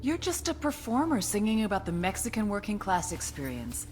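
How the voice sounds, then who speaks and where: An actress playing a cool female intelligence agent who coaxes with controlled, quiet menace in game dialogue. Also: medium pitch, sing-song, brisk, natural voice. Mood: coaxing, menacing. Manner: coaxing, controlled, quietly threatening. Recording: dialogue over music.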